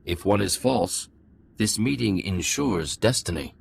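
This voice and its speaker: Solemn alien ruler for a game, cryptic and stately, gravel-throated, lively pitch movement. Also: male voice, unhurried, exaggerated voice. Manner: stately, oracular short pronouncements. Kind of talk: dramatic video game acting.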